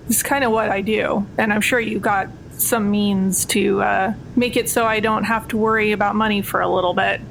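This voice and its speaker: A woman's voice: gravelly, fairly bright tone, poor audio. A woman playing a wry, skeptical jailed thief in a tabletop game, casual and sardonic. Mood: bargaining. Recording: noticeable low rumbling background noise.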